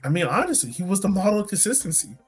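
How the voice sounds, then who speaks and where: A man's voice: high-pitched, sing-song, rapid-fire, husky, faint music behind. An American man co-hosting a casual sports podcast, talking colloquially and emphatically, repeating points for effect. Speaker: podcast co-host.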